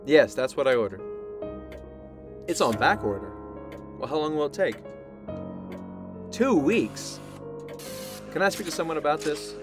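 A man's voice: fairly high pitch, expressive, unhurried, pauses often. A man playing a controlling, evasive scientist in an audio drama, speaking with clipped authority. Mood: agitated. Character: controlling, evasive male scientist, protective of his creation. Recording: loud music under the voice.

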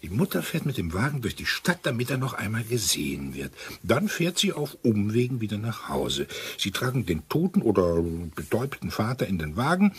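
An actor playing a military man turned amateur sleuth in a radio crime play, very husky, reasoning emphatically and in order. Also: on the low side, very animated, brisk, hardly any pauses, exaggerated voice. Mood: keen, reasoning.

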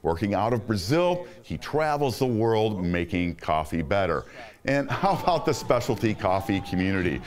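A man's voice: lowish voice, very animated, moderate pace. A middle-aged man hosting a show, reading an enthusiastic, upbeat scripted voice-over. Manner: enthusiastic, upbeat presenter delivery. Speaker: host.

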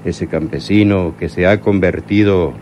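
Very deep, brooding crime boss. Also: male voice, steady tone, unhurried, a little mellow, slight background noise. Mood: bitter, angry. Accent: Mexican. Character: brooding male crime boss, bitter and menacing. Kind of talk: Mexican radionovela acting.

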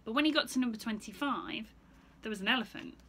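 A woman's voice: fairly high pitch, fast talker, noisy background. A woman in her thirties reading a picture book aloud to children as a teacher, asking the listener questions as she goes. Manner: asks the listener questions between story lines. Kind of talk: expressive children's story reading. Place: small bright room indoors, seated in an armchair.

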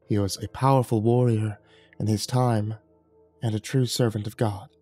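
A man voicing a gentle, solemn archangel who speaks softly and calmly. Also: on the low side, normal speed, breathy edge, faint music behind, pitched below the performer's own voice. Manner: soft and calm.

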